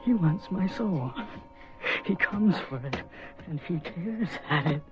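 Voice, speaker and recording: A man's voice: fairly high pitch, lively intonation, slow pace, dull audio. An actor playing a tormented, menacing young man on the run in a radio horror drama, intense and brooding. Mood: anguished. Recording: band-limited radio drama with music underneath.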